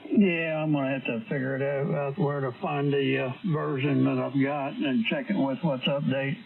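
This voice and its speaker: A man's voice: medium pitch, little pitch movement, normal speed, vocal fry, breathy edge. A man chatting casually as an amateur radio operator, heard over a radio transmission. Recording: narrowband, staticky ham radio transmission.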